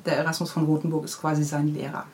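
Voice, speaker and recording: Woman chatting, clearly noisy background. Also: sits low, completely flat delivery, fast, darkish tone. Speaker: children's book author being interviewed. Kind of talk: casual interview chat about own books.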